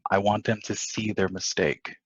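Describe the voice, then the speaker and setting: A man's voice: on the low side, level tone, moderate pace, husky. A man acting a smitten, flirtatious married man from the 1950s in a stage play table read, on a dull lo-fi recording. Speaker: actor at a table reading. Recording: dull lo-fi sound.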